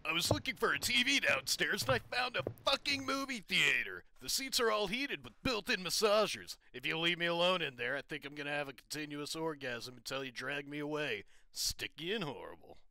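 A man playing an exuberant, bright, high-voiced comedian. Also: animated, brisk, creaky, few pauses, dry recording, exaggerated voice. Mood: giddy, excited. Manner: theatrical, enthusiastic. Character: crude, exuberant comedian in his early thirties. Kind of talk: amateur comic dub acting.

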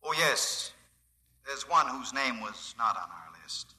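Smug villain, very sharp piercing tone, intercom, formal mock courtesy, 1950s radio drama. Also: male voice, medium pitch, animated, takes it slowly, full character voice. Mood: smug menace. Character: smug, coldly polite male conspirator chief. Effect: speaking over an intercom.

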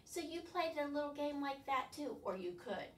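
An older woman leading a children's storytime as a librarian, animated and instructing, talking directly to young viewers with questions. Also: sits high, moderate pace, a little hiss or hum. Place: small living room, indoors. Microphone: far camera. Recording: roomy, distant camera microphone.

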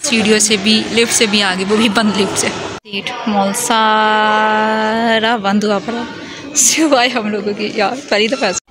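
A woman's voice: mid-range voice, little pitch movement, moderate pace, noisy background. A young Pakistani woman chatting casually for a family vlog, mixing in English phrases, recorded on a handheld phone. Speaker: family vlogger. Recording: music laid over the voice. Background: music.

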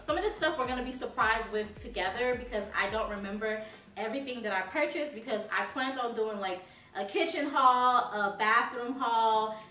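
A woman's voice: fairly high pitch, bright, forceful tone. Bubbly woman vlogging from her couch. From Haiti. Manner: chatty, bubbly, talks to viewers with many "like"s. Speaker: home decor vlogger. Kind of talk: casual haul video. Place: living room, seated on a sofa. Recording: roomy sound from a distant mic.

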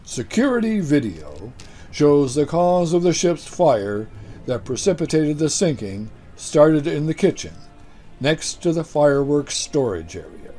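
An older man delivering a deadpan mock news report as a comedy anchor, in a dry, unhurried newsreader manner. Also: a little high. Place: studio set with green-screen backdrop.

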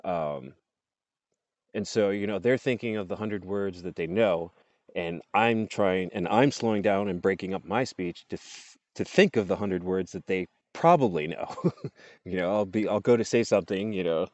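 Man, 40s, very low voice, chatty laughing vlogger, earbud mic, muffled dry sound. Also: moderate pace, dry recording. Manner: laughs often, loose and chatty. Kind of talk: casual rambling monologue. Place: outdoors under trees. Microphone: earbud headset mic, phone close up.

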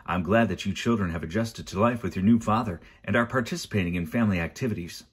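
A man voicing a genial, oblivious, formal middle-aged gentleman in a storybook, hearty and polite. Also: on the low side, fast, slightly rough.

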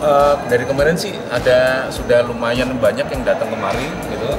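A man in his forties answering interview questions at an expo booth, halting and full of fillers. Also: medium pitch, steady tone, far from the mic, loud music behind the voice. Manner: hesitant, many fillers and restarts. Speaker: film company representative. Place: busy indoor expo hall, at a booth. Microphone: handheld reporter mics held close.

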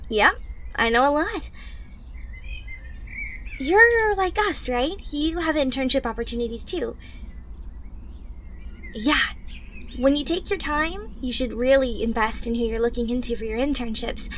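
An upbeat teenage anime hero girl, acted by a woman, with a high voice and an unhurried, encouraging delivery. She talks straight to the listener like a bubbly training partner in an audio roleplay, with clear birdsong and outdoor ambience behind her. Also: a bit bright, a little hiss or hum. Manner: bubbly, instructional, talking directly to the listener. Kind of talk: anime fan ASMR roleplay acting.

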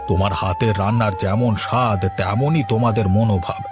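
A man's voice: lowish voice, a little fast, close to an everyday voice. A man voicing a benevolent, bossy older family guest in a cartoon, avuncular and authoritative. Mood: appreciative. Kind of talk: cartoon voice acting. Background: music.

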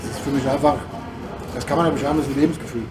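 Middle-aged man, blunt and keen, recorded from afar with loud room noise. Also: mid-range voice, steady tone, rapid-fire. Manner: blunt, enthusiastic. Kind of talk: interview. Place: dealership showroom indoors. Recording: echoey room, far from mic, loud background noise.

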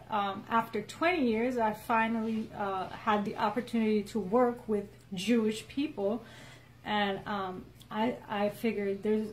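A woman's voice: fairly high pitch, low-quality recording. Earnest Egyptian-raised woman with faint noise. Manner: earnest, frequent restarts and laughs. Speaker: guest. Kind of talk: casual interview chat. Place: small indoor room with a wall chart.

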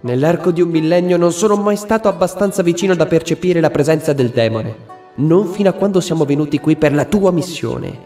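A man's voice: a little high, a little fast, mellow, faint music behind, lightly acted. A man voicing a solemn, vengeful ancient samurai spirit, grave and portentous, in a cartoon fan dub. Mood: solemn persuasion.

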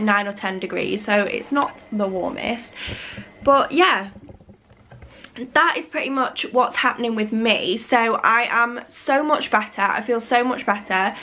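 Slightly husky young woman vlogger. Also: on the high side, fairly bright tone, slight background noise. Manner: rambling, many fillers and restarts. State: recovering from a cold. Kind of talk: casual chat to camera. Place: living room at home, indoors. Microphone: camera on a tripod.

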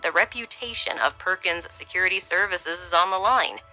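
A woman voicing a weary, hushed security chief. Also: lowish voice, normal speed, faint music behind, low-quality recording. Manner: tired, subdued, half-whispered. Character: weary middle-aged male security chief.

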